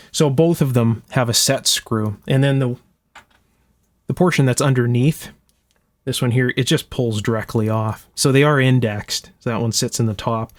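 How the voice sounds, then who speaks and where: A man with a mid-pitched voice talks through hands-on repair work as a demonstration host. He explains each step casually and methodically, thinking aloud as he goes. The sound is dry, with very little room in it. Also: no room sound. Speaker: host of an electronics restoration channel. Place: indoor electronics workshop.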